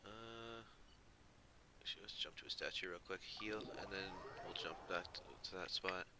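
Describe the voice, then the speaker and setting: Low-pitched guy streaming games, swears a lot, sardonic and grumbly, noticeable background noise. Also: moderate pace. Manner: profane, slangy. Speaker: video game streamer. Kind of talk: casual live game commentary. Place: room at home with an open window. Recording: clearly noisy room recording.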